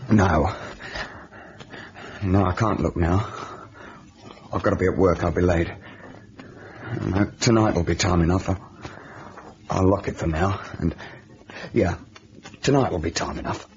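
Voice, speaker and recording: An actor playing an anxious, quick-tempered working man haunted by guilt, halting and agitated, in old radio drama style. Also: deep voice, darkish tone. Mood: fearful. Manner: agitated, halting, muttering to himself. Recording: dull old radio recording, faint noise.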